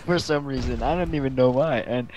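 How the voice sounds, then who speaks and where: A man's voice: medium pitch, fairly quick, darkish tone, a little hiss or hum. A young man chatting casually and telling anecdotes in a rambling, giggly way. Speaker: co-host of a casual gaming video.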